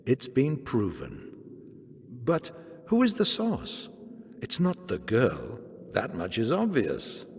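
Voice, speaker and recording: A stern man declaiming riddles in a video game. His voice is husky and creaky, with deliberate theatrical phrasing. It rings in a long hall reverb. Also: medium pitch, wildly swooping pitch, heavily acted. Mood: stern, cryptic. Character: stern, cryptic man passing judgment in riddles.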